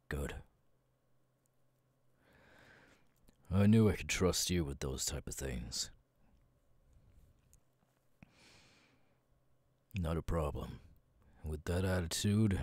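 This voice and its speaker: A man acting out a brooding, battle-hardened army captain for an ASMR roleplay. His voice is extremely deep and husky, with slow, deliberate phrasing. It is recorded dry and intimate. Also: no room sound, full character voice. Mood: calm, subdued. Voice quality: husky, breathy. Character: stoic, battle-hardened male military captain, brooding and vengeful. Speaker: voice actor, ASMR artist. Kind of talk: ASMR audio roleplay, dramatic monologue.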